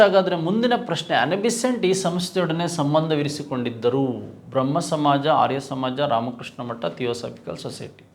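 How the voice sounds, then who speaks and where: A man's voice: a little high, vocal fry. A man with a Karnataka Kannada accent lecturing as an exam coach, didactic, repeating key points and posing rhetorical questions. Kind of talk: lecture, reviewing quiz questions.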